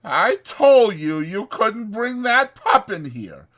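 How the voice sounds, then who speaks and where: A man voicing an exasperated but protective ranch hand in a dramatized audiobook, blunt and scolding, with a rough folksy drawl. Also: high-pitched, sing-song, slow, fairly bright tone, pitched above the performer's own voice, full character voice. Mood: scolding, firm. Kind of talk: dramatized audiobook character acting.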